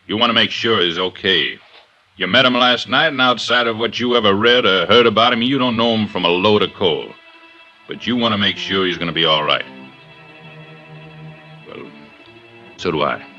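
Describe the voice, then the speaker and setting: An American man playing a blunt, gruff but decent police inspector in a 1950s radio drama, pressing his points. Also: lowish voice, normal speed, pauses often, bright, forceful tone. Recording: dull vintage radio recording with music.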